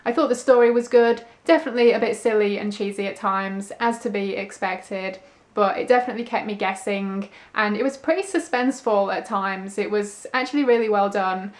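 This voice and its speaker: A woman's voice: mid-range voice, a little fast, hardly any pauses. Casual, rambling woman book vlogger. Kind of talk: casual chat to camera, reading diary and book review. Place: indoors at home.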